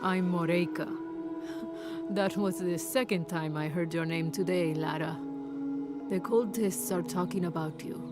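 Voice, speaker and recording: A woman's voice: lowish voice, steady tone, lightly acted. A woman playing a wise, cryptic outcast shopkeeper in a video game, musing philosophically with serene amusement. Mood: serene, amused. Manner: slow, musing, rambling philosophically. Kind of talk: video game cutscene acting. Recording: music under the voice.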